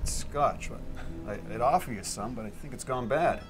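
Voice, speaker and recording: A man's voice: mid-range voice, moderate pace, music in the background, close to an everyday voice. A middle-aged man playing a bewildered ordinary husband, hesitant and stammering, in a film drama. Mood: polite unease. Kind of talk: amateur film drama acting.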